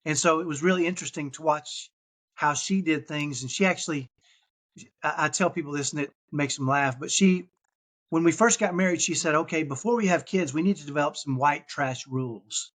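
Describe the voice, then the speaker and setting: A man's voice: a little high, fairly quick, fairly bright tone. A man from Texas with a slight Texan accent, a podcast guest telling his story in casual chat with many restarts and fillers.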